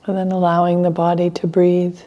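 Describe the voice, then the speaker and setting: A woman teaching a guided meditation, speaking gently in short phrases with long pauses between them. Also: fairly low pitch, monotone, muffled recording.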